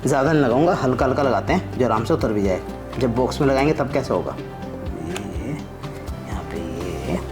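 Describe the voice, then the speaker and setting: A man's voice: medium pitch, animated, brisk. A man hosting a casual vlog, chatting informally and explaining things as he works on a hands-on project. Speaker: vlog host and father. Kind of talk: casual chat while doing a DIY project. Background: clear background music.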